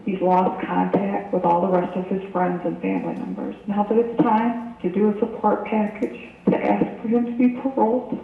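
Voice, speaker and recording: A parent delivers a prepared phone message in a flat, gravelly voice. It is thin and phone-like, replayed with heavy echo and some noise. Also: medium pitch, moderate pace, hardly any pauses, distant mic. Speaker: caller, parent of a prisoner. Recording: tinny phone message replayed in echoey room.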